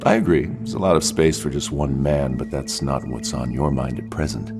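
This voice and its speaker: Creepy insinuating middle-aged suspect, super deep, taunting, audio drama, loud droning music behind. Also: male voice, gravelly. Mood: mocking, dismissive. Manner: mocking, insinuating, unhurried. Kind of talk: audio fiction drama acting.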